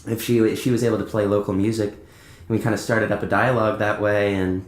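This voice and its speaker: A young American man speaks as a musician guest in a casual interview. His voice sits a bit low and he talks informally, feeling his way through sentences. The sound is echoey and roomy. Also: flat delivery, fairly quick. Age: young adult.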